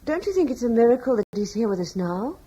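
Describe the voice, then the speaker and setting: An actress playing a gentle, devout Roman-era wife who speaks softly and earnestly in an old radio play. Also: female voice, fairly high pitch, very animated, fast, husky. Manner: soft, earnest, quietly faithful. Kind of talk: 1950s-60s radio drama acting. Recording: dull old radio broadcast.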